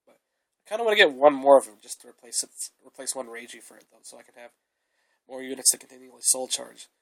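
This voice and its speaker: A man's voice: medium pitch, expressive, fast, creaky, fairly bright tone, low-quality recording. A young man with a cold, giving a rambling, casual review of his card deck and filling his talk with "though" and "uh". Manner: rambling, repeated fillers like "though" and "uh". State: sick with a cold, coughing.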